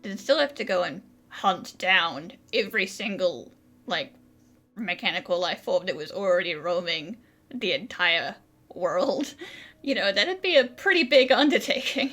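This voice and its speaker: A woman's voice: mid-range voice, animated, unhurried, fairly bright tone. A woman giving casual, conversational game playthrough commentary, chatting along with the characters. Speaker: gaming video creator.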